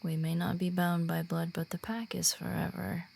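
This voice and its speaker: A woman's voice: on the low side, level tone, normal speed, gravelly, darkish tone. A woman voicing a friendly female werewolf who guides and reassures a new pack member in a confiding roleplay audio. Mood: reassuring. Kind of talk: roleplay audio drama in ASMR manner.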